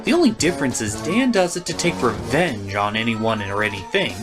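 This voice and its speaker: Male review host, very lively intonation, opinionated, scripted comedic narration, guitar music behind. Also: mid-range voice, a little fast.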